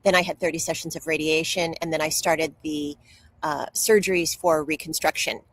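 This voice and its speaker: A woman's voice: low-pitched, steady tone, normal speed, a little hiss or hum. A woman speaking as an interview guest and author, telling personal stories in a candid, open way.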